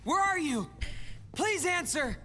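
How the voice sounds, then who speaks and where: A man's voice: very high voice, lively intonation, takes it slowly, bright, forceful tone, exaggerated voice. A man voicing a troubled, earnest teenage boy hero in a video game cutscene. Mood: anxious, searching. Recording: reverberant game audio with music behind.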